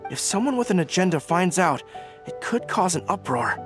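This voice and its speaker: A voice actor playing an earnest, dutiful young dog-eared general in a video game, formal in manner. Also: male voice, sits high, sing-song, moderate pace, music in the background.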